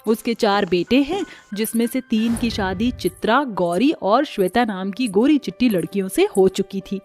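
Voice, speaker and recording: A woman's voice: medium pitch, lively intonation, moderate pace, darkish tone, faint music behind. A woman narrating a scripted cartoon story as the storyteller.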